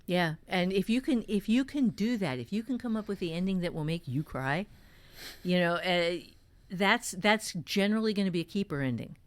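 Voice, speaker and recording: A woman's voice: on the low side, lively intonation, moderate pace. A woman hosting a casual talk on writing, giving advice earnestly and thinking aloud with emphatic repetition. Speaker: podcast host and novelist.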